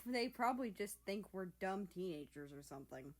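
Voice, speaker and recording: A woman's voice: medium pitch, lively intonation, brisk, close to an everyday voice. Lady doing a cocky teen in a story, sarcastic and brash, voice jumps around a lot. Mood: dismissive teasing. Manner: sarcastic, loud retorts. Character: brash, smug teenage country-person, teasing and hot-headed. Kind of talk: animated storybook character voice.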